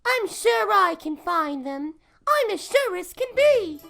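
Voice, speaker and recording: A woman's voice: very high-pitched, sing-song, slow, creaky, fairly bright tone, pitched above the performer's own voice, exaggerated voice. A woman voicing an eager, naive young male fox cub in a rhyming folk tale. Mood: eager, confident.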